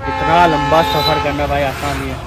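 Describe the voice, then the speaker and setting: A man's voice: a little high, level tone, normal speed. A man in his twenties chatting casually and informally to viewers in a travel vlog, speaking into a handheld camera at arm's length. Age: 20s. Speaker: travel vlogger. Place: outdoors at railway stations and on the road. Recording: noisy outdoor station and vehicle ambience.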